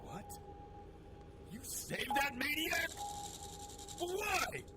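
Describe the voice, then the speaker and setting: A man's voice: extremely high pitch, big pitch swings, drawn-out words, heavy background noise, exaggerated voice. A man playing a brash, hot-headed young American pilot in a radio adventure serial. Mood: shocked. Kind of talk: old-time radio adventure serial acting.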